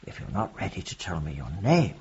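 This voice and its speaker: An actor playing a reflective, gently probing prison chaplain with a husky, gravelly voice in a radio drama. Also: male voice, deep voice, very animated, fairly quick, highs cut off, a little hiss or hum.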